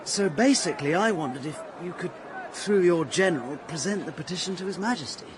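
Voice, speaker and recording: An actor playing an earnest, proud young Russian officer in a radio drama, speaking with hesitant fervour. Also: male voice, sits high, lively intonation, fairly quick. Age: young adult. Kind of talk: radio drama acting with inner monologue. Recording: bustling outdoor crowd noise behind.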